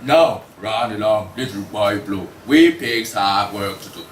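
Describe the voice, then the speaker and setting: Slow, low-voiced pig foreman played by a young man. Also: lightly acted. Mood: stern. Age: young adult. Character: businesslike jury foreman pig, stern and orderly. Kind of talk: student table read of a comic courtroom play. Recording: distant, echoey room with faint noise.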